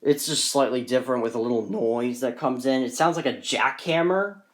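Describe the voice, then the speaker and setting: Man, medium pitch, casual unscripted collector walk-through, rambling and matter-of-fact, handheld phone audio. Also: normal speed. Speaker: gadget collector presenting his collection. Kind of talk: casual off-the-cuff show-and-tell.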